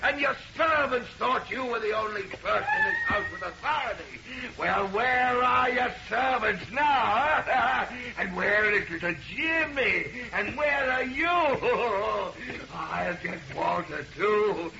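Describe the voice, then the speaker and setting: Gravelly, gloating murderous madman. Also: very high voice, big pitch swings, slow pace, hardly any pauses, heavily acted. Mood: manic triumph. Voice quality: gravelly, creaky. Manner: gloating, ranting, melodramatic. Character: crazed murderous husband and father, gloating madman. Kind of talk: old-time radio horror acting. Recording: noisy muffled old radio recording.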